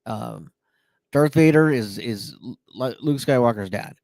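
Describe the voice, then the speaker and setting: A man's voice: medium pitch, lively intonation, unhurried, gravelly, darkish tone, no echo. A man hosting a podcast, chatting casually and joking, with frequent fillers. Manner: playful, jokey, many fillers. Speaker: podcast host. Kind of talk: casual chat.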